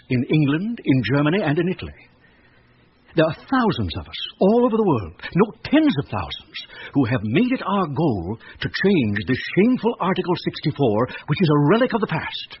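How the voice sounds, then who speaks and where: A man playing a courteous, erudite, middle-aged gentleman with an obsession, speaking deliberately and at length in a radio drama. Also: a little high. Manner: genteel, probing, deliberate, loquacious. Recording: dull old radio recording, faint noise.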